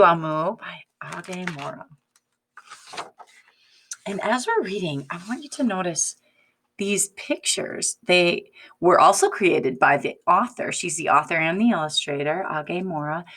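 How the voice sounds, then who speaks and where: A woman reads to young children like a teacher, slowly and deliberately. Her slightly low voice moves through a wide, animated range as she prompts her listeners. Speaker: teacher reading aloud to children. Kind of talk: picture-book read-aloud with questions to young listeners.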